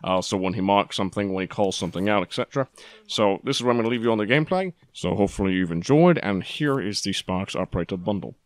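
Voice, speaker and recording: Fast-talking man, lowish voice, explaining. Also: animated, a little hiss or hum. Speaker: gaming video host. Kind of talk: explanatory gameplay showcase commentary.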